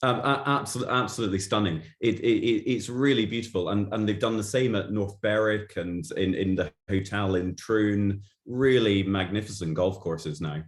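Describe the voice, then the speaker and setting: A man's voice: lowish voice, moderate pace, hardly any pauses, reverberant. A man with a Glaswegian accent, a guest author chatting in an interview, often stammering and repeating words as he starts sentences. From Glasgow, Scotland. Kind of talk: casual interview chat.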